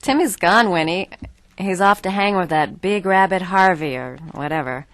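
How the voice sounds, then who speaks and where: Brash, self-absorbed woman author of thirty, played by an actress, rambling and flippant, lowish pitch, satirical radio play. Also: normal speed, fairly bright tone, dry recording. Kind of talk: satirical audio drama.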